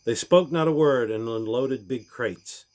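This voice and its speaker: A man reading a rhyming storybook aloud in a theatrical way, with deliberate pauses. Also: mid-range voice, darkish tone. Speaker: reader of a storybook.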